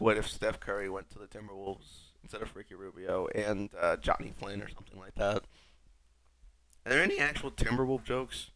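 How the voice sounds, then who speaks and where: A young man with a raspy voice, sick, rambling wryly through casual gameplay commentary as a streamer. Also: fairly low pitch, a bit bright. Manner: rambling, wry, self-mocking. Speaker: gaming streamer.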